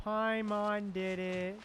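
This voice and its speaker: A woman's voice: medium pitch, very noisy. A woman streaming a game with casual, giggly reactions, repeating phrases as she chats with viewers. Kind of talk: casual livestream reaction chat.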